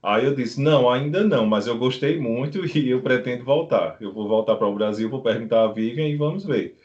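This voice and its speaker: Middle-aged Brazilian man, guest in an interview, unhurried talker, dull video-call sound. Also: mid-range voice, a little mellow. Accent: Brazilian Portuguese. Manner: unhurried, rambling anecdotes. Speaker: guest, beekeeper living abroad. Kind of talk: casual interview storytelling.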